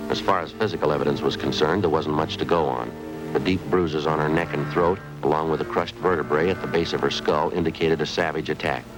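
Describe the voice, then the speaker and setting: A hard-boiled police sergeant with a very deep voice who narrates in short, clipped, factual lines. He talks at a quick clip. It sounds like a vintage radio drama with music running underneath. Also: low-quality recording. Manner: clipped, matter-of-fact. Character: terse male police detective sergeant, narrator. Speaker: detective narrator. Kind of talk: 1950s radio crime drama.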